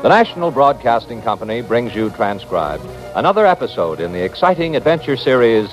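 A man announcing a 1950s radio western in a grand, emphatic, dramatic style with drawn-out key words. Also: medium pitch, very animated. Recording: dull old radio recording, loud music.

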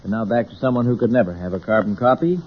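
A man's voice: on the low side, fast talker. A man hosting an old-time radio variety show with scripted introductions and light comic banter. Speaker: radio show announcer and host. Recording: noisy, dull old radio recording.

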